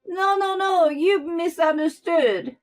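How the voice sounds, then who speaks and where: A woman voicing a spiteful older mother-in-law who scolds in a shrill, loud voice. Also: lively intonation, takes it slowly. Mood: flustered.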